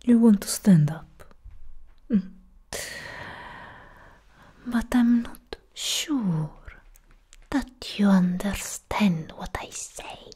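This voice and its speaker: A woman voicing an imperious, menacing goddess of war in a soft, breathy ASMR roleplay, slow and commanding, close to the microphone. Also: medium pitch, heavily acted. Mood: condescending, menacing. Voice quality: breathy, whispery.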